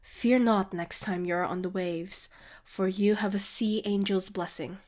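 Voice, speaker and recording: A woman playing a grandiose showwoman guide in a fantasy audio roleplay, with salesmanlike patter and dramatic pauses. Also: medium pitch, fairly even intonation, darkish tone, lightly acted. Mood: smooth, showy.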